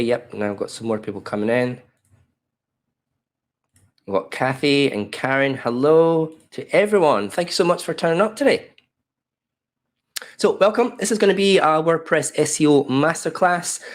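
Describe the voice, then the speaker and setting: Aussie guy in his 50s hosting a webinar on his webcam, bit croaky from a cold, chatty and welcoming. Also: mid-range voice, animated, moderate pace. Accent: Australian. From Australia. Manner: chatty, welcoming, loose with fillers. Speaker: webinar presenter. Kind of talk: live online workshop. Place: home office in a desk chair. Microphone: webcam video call.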